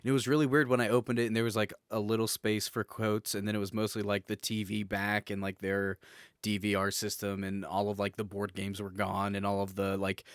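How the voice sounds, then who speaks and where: A man's voice: on the low side, flat delivery, fairly quick, clean tone, no room sound, clean studio sound. A man co-hosting a casual podcast, reminiscing in a rambling, anecdotal way. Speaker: podcast co-host.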